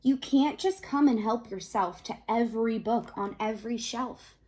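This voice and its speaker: A woman voicing a brave, determined young female rabbit in a storybook, speaking firmly and deliberately. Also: on the high side, warm tone, natural voice. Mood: stern. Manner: bold, firm, deliberate scolding.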